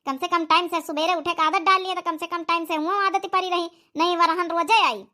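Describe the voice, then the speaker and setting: A woman as a shrill-high nagging Awadhi village mother. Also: steady tone, fairly quick, pushed and bright. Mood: scolding. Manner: nagging, repetitive. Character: scolding, nagging village mother of a grown daughter. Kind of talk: cartoon comedy dialect acting.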